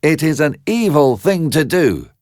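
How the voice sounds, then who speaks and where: A man's voice: a little high, big pitch swings, a little slow, a little mellow, no echo, clean studio sound, voice pushed up. A man voicing an earnest, questioning young fisherman in a bedtime storybook tale, eager and easily led. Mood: indignant.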